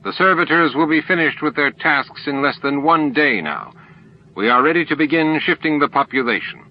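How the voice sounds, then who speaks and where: A man's voice: fairly high pitch, expressive, normal speed, highs cut off, voice pushed up, natural voice. A man voicing a dutiful alien officer who reports to his master in a formal, deferential way. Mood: delighted.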